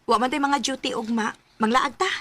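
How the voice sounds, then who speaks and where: A woman's voice: high voice, steady tone, brisk, loud music behind the voice, natural voice. A Cebuano actress playing a devoted, easily hurt young woman in a romantic radio drama, with an emotional, pleading manner. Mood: affectionate. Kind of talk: Filipino radio drama acting.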